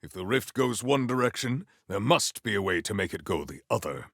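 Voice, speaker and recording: A man voicing a big, formal, earnest warrior in a video game, with stately, measured phrasing and a solemn temper. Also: expressive, fairly bright tone, no room sound, clean studio sound, heavily acted. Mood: measured, grave. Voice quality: deep, gravelly.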